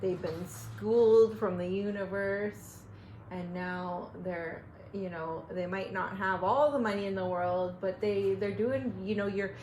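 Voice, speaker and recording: A woman with a Trinidadian accent, co-hosting a casual chat and asking lively, rambling questions. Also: medium pitch, measured pace, reverberant, a little hiss or hum, poor audio. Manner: animated, questioning, many fillers. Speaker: podcast co-host.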